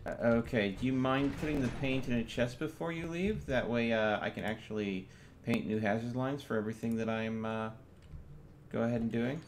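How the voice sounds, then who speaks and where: A man's voice: mid-range voice, moderate pace. A man giving casual live commentary and chatting while he streams a game. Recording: noisy gaming setup with keyboard clatter. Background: keyboard typing, steady background noise.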